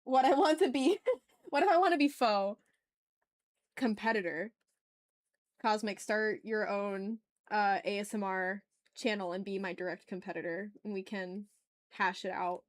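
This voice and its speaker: A woman's voice: mid-range voice, unhurried, long pauses, no room sound, clean studio sound. A woman chatting casually and playfully with her viewers as she hosts a live art stream. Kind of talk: casual livestream chat while drawing.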